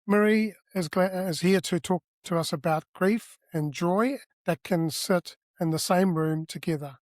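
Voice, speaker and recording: A man's voice: high-pitched, dry recording, studio quality. Earnest male podcast host. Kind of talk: scripted introduction and interviewing.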